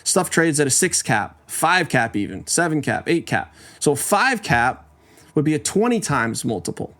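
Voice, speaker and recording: A man's voice: fairly high pitch, very animated, normal speed, a bit bright. 40s guy on a podcast walking through the numbers, chatty, keeps asking "right?". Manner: conversational, explains numbers step by step, asks rhetorical questions. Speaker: podcast host. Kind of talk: casual podcast monologue.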